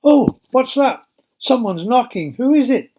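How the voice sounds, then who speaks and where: A man voicing a startled, excitable young woman in a children's Bible story, urgent and exclamatory. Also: extremely high pitch, a little slow, slight background noise, voice pushed up.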